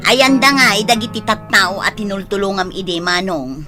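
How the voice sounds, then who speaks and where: An actress playing a blunt, practical younger sister with an Ilocano accent, insistent and scolding, in a radio drama. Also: female voice, mid-range voice, expressive, moderate pace, over music, close to an everyday voice. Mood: nagging, insistent. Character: blunt, practical, busy sister nagging an ailing older brother.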